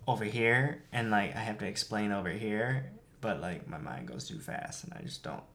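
A man's voice: on the low side, moderate pace, creaky, a bit bright. A young man co-hosting a podcast, chatting casually and animatedly, jumping between tangents and laughing often. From Filipino family background. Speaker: podcast co-host. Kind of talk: casual chat.

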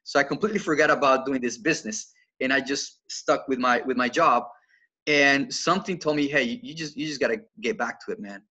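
A man's voice: mid-range voice, a little fast, bright, forceful tone, slightly rough, low-quality recording. A man in his twenties from Colombia, speaking English with a Colombian Spanish accent, telling his story as a podcast guest in an earnest way, often repeating words as he restarts. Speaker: podcast guest, financial coach. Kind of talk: casual podcast interview.

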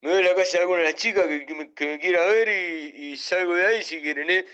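A man's voice: high voice, fast, a bit bright, clear, resonant tone. A man playing a brash, womanizing Argentine former classmate in a comic radio drama, boisterous and sleazy. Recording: dull, lo-fi audio.

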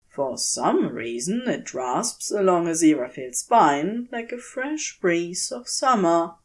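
A woman reading a scripted story aloud with an expressive, unhurried storytelling delivery. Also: medium pitch. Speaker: audiobook-style reader of fan fiction.

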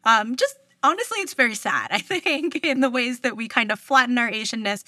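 A woman's voice: a little high, expressive, fairly quick, bright, forceful tone, dry recording, studio quality. A woman in her thirties from New York, a journalist guest chatting casually in an interview, laughing often between her words. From New York, US East Coast. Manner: chatty, laughs often mid-sentence, many fillers. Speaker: public radio journalist, guest.

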